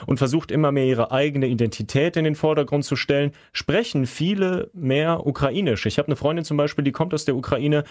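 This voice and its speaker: Man explaining calmly in a dry sound. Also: fairly high pitch, a little fast, hardly any pauses, no echo. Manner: calm, plain explaining. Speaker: podcast co-host. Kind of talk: casual explanatory chat.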